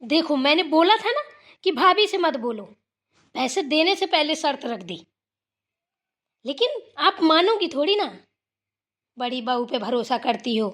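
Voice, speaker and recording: A woman's voice: high-pitched, sing-song, a little slow, pauses often, fairly bright tone, exaggerated voice. A woman voicing a touchy, distressed married daughter in a village family cartoon, with a plaintive, emotional delivery. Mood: hurt, annoyed. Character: touchy, distressed married daughter visiting her parents' home. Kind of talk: Hindi family moral cartoon dialogue.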